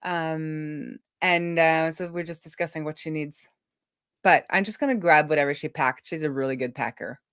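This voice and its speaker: A woman's voice: low voice, fairly even intonation, normal speed, fairly bright tone, no room sound, clean studio sound. A middle-aged woman hosting a livestream in casual, conversational chat. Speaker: livestream host.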